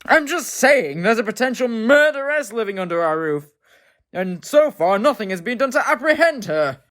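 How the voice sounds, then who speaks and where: Woman as a grumpy self-important patriarch, blustering, animated, raised pitch, comic mystery play. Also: moderate pace. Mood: grumbling. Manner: blustering, exasperated. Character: pompous, grumpy husband and father, local politician. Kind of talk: hobby comedy murder-mystery audio drama.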